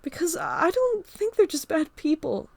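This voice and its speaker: Woman reader voicing a crying, stammering teenage girl, higher than her narration, gravelly edges. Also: wildly swooping pitch, a little slow, dry recording, heavily acted. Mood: shaken. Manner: stammering, pleading. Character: frightened teenage girl, tearful and apologetic.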